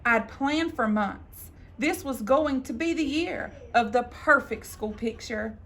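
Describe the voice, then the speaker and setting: A woman reading a children's picture book aloud in an expressive, theatrical way, drawing out words for emphasis. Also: on the high side, slight background noise. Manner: theatrical, deliberate phrasing with drawn-out emphasis. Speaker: storybook reader.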